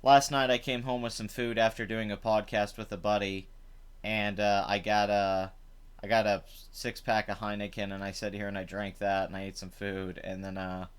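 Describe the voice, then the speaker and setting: Chatty man with a rough, hungover voice. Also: on the low side, level tone, moderate pace. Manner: rambling, chatty, many fillers. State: rough voice after drinking. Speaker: live stream host. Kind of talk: casual live stream commentary. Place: home.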